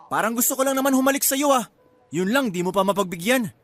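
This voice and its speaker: A man's voice: very high-pitched, lively intonation, fast talker, a bit bright, soft background music. A man playing a sulky, amorous, grumbling male partner in a Filipino radio drama.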